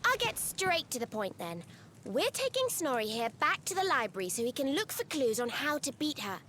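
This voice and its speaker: A woman's voice: high voice, sing-song, normal speed, fairly bright tone, a little hiss or hum. An actress voicing a blunt, feisty young female mage in a video game, with a no-nonsense, colloquial manner. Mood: brisk, decided.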